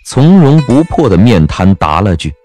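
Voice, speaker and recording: A man narrating a scripted story in a wry, expressive manner. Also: on the low side, moderate pace, soft background music.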